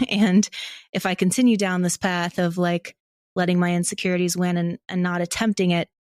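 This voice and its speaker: American woman guest chatting candidly, lowish pretty even voice, casual and reflective. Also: no echo, studio quality. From Ohio, United States. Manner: candid, self-reflective, laughs while talking. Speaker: interview guest, audio drama creator. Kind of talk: casual conversational interview.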